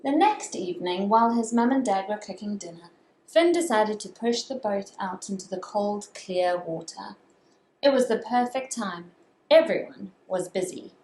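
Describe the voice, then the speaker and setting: A woman reading a children's story aloud as a storyteller, with expressive, drawn-out dramatic phrasing. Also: mid-range voice. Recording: echoey room with faint background noise.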